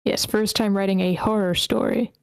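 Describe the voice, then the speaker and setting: A woman with a medium-pitched voice moderates an online table read. She reads written feedback aloud. The sound is dry, with no room echo. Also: little pitch movement, moderate pace, gravelly, smooth.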